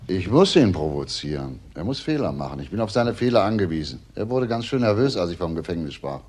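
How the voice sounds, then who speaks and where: An actor playing a shrewd, provocative police detective in a crime drama, asking probing, insistent questions with pointed pauses. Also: male voice, sits low, sing-song, darkish tone. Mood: pondering, businesslike. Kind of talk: German TV crime drama acting.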